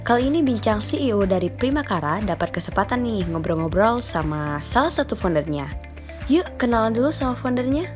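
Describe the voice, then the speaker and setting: A woman's voice: a little high, very animated, a little fast, music in the background. A woman hosting an interview show with casual scripted introductions and questions.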